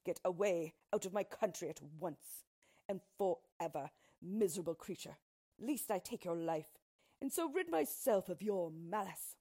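A woman's voice: fairly low pitch, measured pace, mellow, breathy edge. A woman voicing a brave young king in a storybook tale, stern, commanding and scornful. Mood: indignant, scornful.

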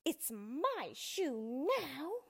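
A woman's voice: high voice, very animated, drawn-out words, creaky, fairly bright tone, pitched above the performer's own voice, full character voice. A woman voicing a greedy, gloating, wicked witch in a children's storybook, hissing and shrieking her menacing words.

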